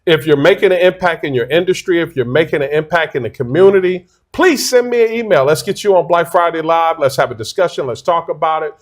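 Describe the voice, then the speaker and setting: A man's voice: a little high, fast, hardly any pauses. A man in his forties hosting an interview show, encouraging his guest with short affirmations. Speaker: host.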